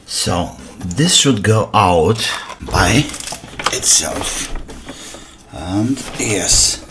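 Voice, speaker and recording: A man, an English speaker, thinking aloud in a casual, halting way as he hosts a hands-on repair vlog, muttering asides to himself. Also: deep voice, animated. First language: not English. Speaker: host of an electronics repair channel. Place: indoor workbench. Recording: noisy workbench with clicks and clatter.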